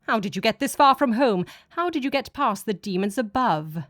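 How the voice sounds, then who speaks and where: A woman's voice: fairly high pitch, lively intonation, moderate pace, no echo, voice pushed up, natural voice. A menacing false god of an alien people, acted out by a woman for an audiobook. He is a cloaked tyrant who sneers and commands with open contempt. The sound is dry, with very little room. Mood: menacing disgust. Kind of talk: dramatic audiobook character voice.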